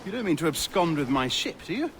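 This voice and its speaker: An actor playing a timid, genteel gentleman in a video game cutscene, speaking in a polite, flowery, nervously hesitant way. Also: a little high, very animated, fast, slight background noise. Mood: worried. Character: timid, genteel, grateful gentleman merchant, easily flustered.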